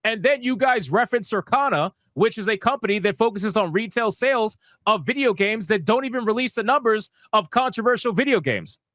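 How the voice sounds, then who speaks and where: A man's voice: high voice, lively intonation, fairly quick, few pauses, a bit bright, no room sound, clean studio sound. A man giving casual, sweary, slangy commentary as a livestream host, emphatic and mocking, repeating phrases for effect. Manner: sarcastic, mocking. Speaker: host of a gaming news livestream.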